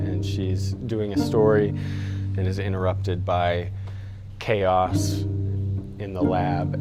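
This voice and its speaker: A man chatting casually in a documentary interview as an amateur actor. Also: low voice.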